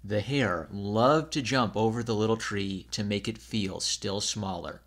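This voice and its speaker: A man's voice: lowish voice, measured pace, a bit bright. A man narrating a scripted children's story as a storybook reader.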